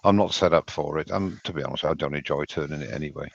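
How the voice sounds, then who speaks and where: An older Welsh man with a very deep voice chats loosely, wandering through his points and laughing often as he co-hosts a hobby stream. The sound is dull and completely dry, like lo-fi stream audio with no room at all. He talks in a relaxed, rambling way. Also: fast, creaky, breathy edge, no room sound. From Wales. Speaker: co-host of a woodturning live stream. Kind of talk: casual chat.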